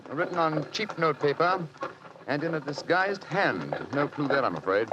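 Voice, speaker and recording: An actor playing a shrewd, self-assured gentleman detective in an old-time radio drama. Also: a little high, big pitch swings, moderate pace, dull audio. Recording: noisy vintage radio recording.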